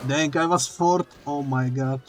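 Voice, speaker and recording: A man who is not a native English speaker, playing a game together with a streamer and joking in relaxed, plain speech. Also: on the high side, measured pace, faint music behind. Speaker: co-op guest player. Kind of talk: casual gaming chat.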